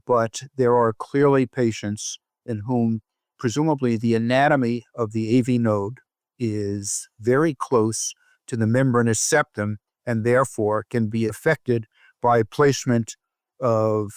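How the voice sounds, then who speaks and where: A man with a mid-pitched voice answers as an expert interview guest. His delivery is measured, formal and technical, and the sound is very dry. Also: dry recording. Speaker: guest, professor of cardiac electrophysiology.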